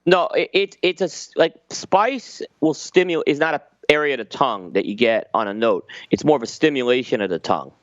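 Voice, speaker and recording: A man's voice: medium pitch, lively intonation, a little fast, few pauses, no echo. A man co-hosting a cigar podcast, giving detailed, explanatory tasting notes in casual panel chat. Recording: slightly dull lo-fi audio.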